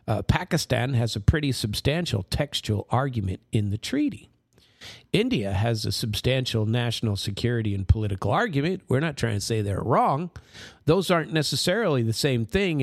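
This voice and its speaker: A man's voice: mid-range voice, creaky, no room sound, studio quality. An American man giving informal explanatory commentary, rambling into side stories and checking in with "right?" and "you know". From United States.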